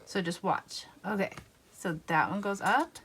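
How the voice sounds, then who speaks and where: A woman in her thirties talks through a drawing lesson one step at a time, patient and easygoing. Her pitch moves up and down a lot as she explains. A faint hum of room noise sits behind her. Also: animated, unhurried, a bit bright. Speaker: art teacher. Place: home art studio.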